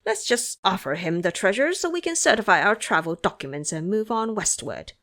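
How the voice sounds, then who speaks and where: 여자가 연기하는 능청스럽고 활달한 원숭이 제자, 억양 변화가 큼. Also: female voice, medium pitch, animated, a little fast, a bit bright. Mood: smug. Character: cocky quick-witted male monkey disciple, persuasive and brash. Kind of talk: audio drama character acting.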